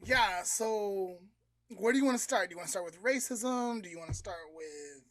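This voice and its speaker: A woman's voice: mid-range voice, expressive, moderate pace, a crowd in the background. A woman podcast co-host retelling a story in an informal, dramatic, conversational way and acting out the dialogue. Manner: conversational, dramatic, uses slangy quotes.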